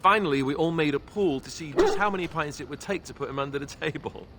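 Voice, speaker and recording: An actor playing an affable, boyish young warrior who talks in a conversational, sentimental way in a video game. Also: male voice, a little high, animated, quick pace, noisy background, natural voice. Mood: amused, nostalgic. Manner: conversational, self-interrupting storyteller. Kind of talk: video game dialogue acting.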